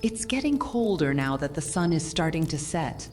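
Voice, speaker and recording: Woman as an eager young adventurer with swooping pitch. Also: sing-song, moderate pace, a little mellow, soft background music. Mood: content. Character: cheerful, eager young female fantasy adventurer. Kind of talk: scripted audio drama dialogue.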